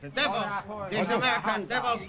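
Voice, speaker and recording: A furious enemy colonel played by a man, ranting in a very high male voice. Old radio melodrama, with a clamouring crowd behind him. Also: brisk, lightly acted. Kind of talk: 1950s radio drama acting.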